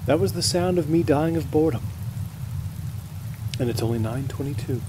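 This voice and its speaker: A man's voice: a little high, normal speed, natural voice. Wry husband played by a man over rain. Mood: wry, bored. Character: wry, lazy, affectionate husband. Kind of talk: naturalistic audio drama acting. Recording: loud rain and background noise.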